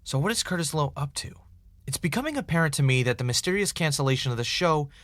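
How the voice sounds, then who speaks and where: A man's voice: fairly high pitch, expressive, fast talker, a bit bright, no echo. A man playing an earnest, dogged podcaster investigating a mystery in a naturalistic audio drama, with a wry, self-dramatizing streak. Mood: measured, serious. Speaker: podcast host in a scripted drama.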